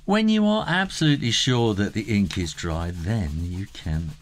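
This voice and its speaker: A middle-aged man giving a casual step-by-step drawing tutorial, unhurried and thinking aloud as he works. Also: on the low side, animated, a little hiss or hum.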